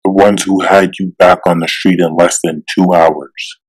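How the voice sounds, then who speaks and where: Man playing a deep-voiced, righteous comic-book superhero. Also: level tone, unhurried, poor audio. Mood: wary. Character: stern, righteous male superhero, steadfast and unafraid. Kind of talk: dramatic comic-book voice acting.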